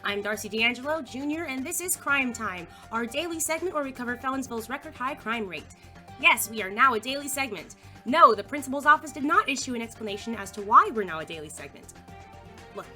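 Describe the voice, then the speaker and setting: A woman plays a high school girl who anchors the student news. Her voice is high and forceful, with a brisk, emphatic announcer delivery. She is bossy and takes the job very seriously, as acted for an audio drama. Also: animated, faint music behind. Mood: peppy, businesslike. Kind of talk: mock news broadcast acting in audio drama.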